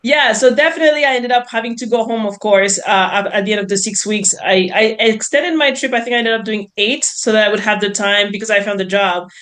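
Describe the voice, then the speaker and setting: A woman in her thirties from France with a French accent, telling her story in a chatty, expressive way as an interview guest. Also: mid-range voice, fairly quick, few pauses. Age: 30s. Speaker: podcast guest, real estate investor.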